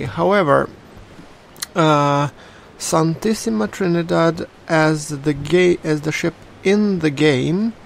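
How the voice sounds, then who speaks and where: Male gameplay commentator, non-native English accent, slow halting delivery, gravelly fry, faint hiss behind. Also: a little high. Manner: slow and hesitant, many fillers.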